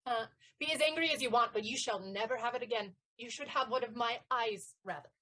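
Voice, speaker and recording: A woman's voice: a little high, brisk, fairly bright tone. A woman playing a lovesick, impetuous teenage page boy in a theatrical period comedy, speaking ardently and insistently in florid lines. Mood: excited, defiant.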